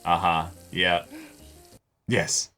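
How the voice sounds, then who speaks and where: A man giving casual reaction commentary, halting as he thinks aloud. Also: sits low, flat delivery, sharp tone, faint music behind. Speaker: reaction show host.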